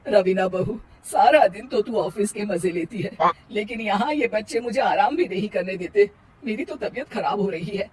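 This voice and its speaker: A woman's voice: mid-range voice, moderate pace, darkish tone, a little hiss or hum, natural voice, rough recording. A woman playing a tired older mother-in-law in a family cartoon, nagging and sarcastic with emphatic delivery. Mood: scolding.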